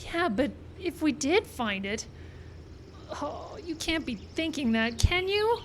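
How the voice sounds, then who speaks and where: A woman's voice: high voice, big pitch swings, slow pace, heavily acted. An actress playing a worried, disapproving young woman who pleads earnestly in a live radio drama. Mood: alarmed. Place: live radio show in an outdoor park. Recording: live outdoor stage recording, background noise.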